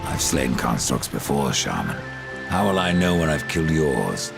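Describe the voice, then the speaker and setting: An actor playing a grim, merciless horseman of death in a video game, gravelly, terse and sardonic. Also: extremely low pitch, big pitch swings, moderate pace, heavily acted. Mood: grim, probing. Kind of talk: video game cutscene acting. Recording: music behind the voice. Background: game score.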